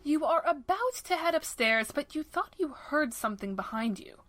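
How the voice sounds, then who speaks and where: Wry narrator, higher-voiced woman. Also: big pitch swings, normal speed, over music. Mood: intrigued. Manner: wry, mock-formal. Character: wry, playful storybook-style female narrator. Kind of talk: scripted second-person narration.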